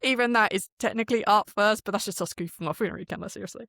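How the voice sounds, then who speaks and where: Fast-talking woman, chatty and candid. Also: medium pitch, creaky, a bit bright, dry recording, clean studio sound. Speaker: podcast guest, audio drama producer. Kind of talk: casual, candid interview talk.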